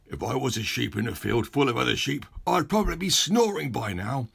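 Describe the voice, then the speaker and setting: A man's voice: fairly low pitch, big pitch swings, a little slow, gravelly, fairly bright tone, slightly rough, heavily acted. A man voicing a pompous, self-important male town mayor who complains indignantly, in a storybook reading.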